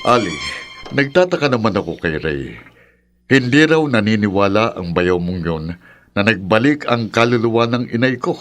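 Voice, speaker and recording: A man's voice: lowish voice, lively intonation, moderate pace, fairly bright tone, faint music behind. A man playing a middle-aged father of grown daughters in a radio drama, concerned and sceptical, reasoning and questioning. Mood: pondering. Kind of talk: Filipino radio drama acting.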